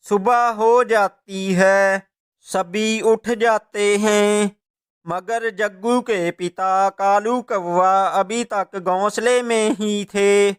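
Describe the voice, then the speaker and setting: A male narrator reads a scripted children's story. His delivery is very slow, with an exceptionally clean, smooth voice. Each sentence is told as plain storytelling. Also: high-pitched, steady tone.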